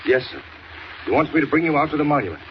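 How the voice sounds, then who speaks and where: Fast-talking deferential lieutenant, old radio. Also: male voice, a little high, sing-song. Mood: earnest, apologetic. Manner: deferential, plainspoken. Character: polite, earnest male guard lieutenant. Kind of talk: 1940s radio acting. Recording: dull, noisy old radio recording.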